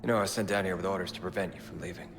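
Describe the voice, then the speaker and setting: A man voicing a measured, earnest male naval commander in a game cutscene. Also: low voice, steady tone, gravelly, background noise. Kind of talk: video game cutscene acting.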